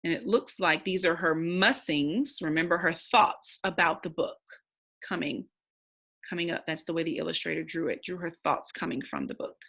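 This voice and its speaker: A woman's voice: on the low side, normal speed, creaky, a bit bright, husky. A woman reading a storybook aloud expressively, in the instructive, simple manner of a teacher with young listeners.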